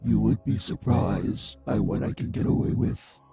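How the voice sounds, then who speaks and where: A cruel vampire man, as a male actor plays him in an audio roleplay, taunting with polite menace. His voice is dark and heavily fried, husky at the edges, and moves slowly over a light music bed. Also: fairly low pitch. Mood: quiet threat. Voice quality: husky, gravelly, breathy. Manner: slow, deliberate, mockingly polite. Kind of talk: scripted audio roleplay drama.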